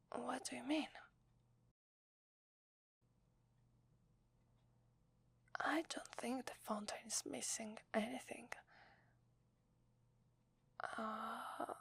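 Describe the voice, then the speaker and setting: A woman with an Italian accent playing a gentle, loving young wife in a whispered, hesitant ASMR roleplay. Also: on the high side, exaggerated voice. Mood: gentle, apologetic. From Italy. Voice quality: breathy, whispery. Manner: slow, hesitant, tender. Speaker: ASMR voice actor.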